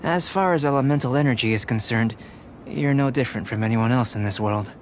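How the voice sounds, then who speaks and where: A man voicing a calm, detached young alchemist in an anime-style video game, speaking in a measured, precise, professorial way. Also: medium pitch, a little hiss or hum, lightly acted. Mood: clinical, assured.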